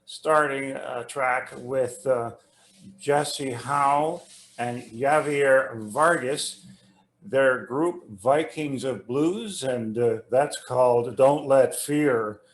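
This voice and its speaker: A man hosting an interview over an internet call, reading an introduction in a halting, rambling way. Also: mid-range voice. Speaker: host interviewing a musician. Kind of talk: conversational interview, introduction read from notes. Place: remote internet video call. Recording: roomy, echoing internet call audio.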